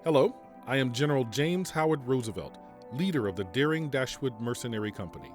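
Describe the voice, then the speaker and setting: An actor playing a calm, formal mercenary general in an audio drama, speaking in a measured way. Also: male voice, mid-range voice, faint music behind, natural voice. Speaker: military general.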